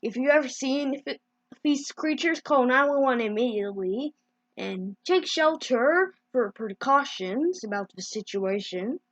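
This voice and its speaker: A woman improvising mock emergency news bulletins as an amateur announcer, with halting delivery and mid-word corrections. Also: high-pitched, lively intonation, dry recording, highs cut off.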